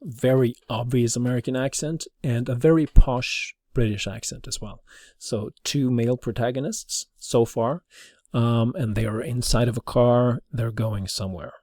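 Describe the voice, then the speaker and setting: A man giving an informal, halting explanation as a teacher demonstrating something to a class. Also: medium pitch, steady tone, darkish tone, no room sound, clean studio sound.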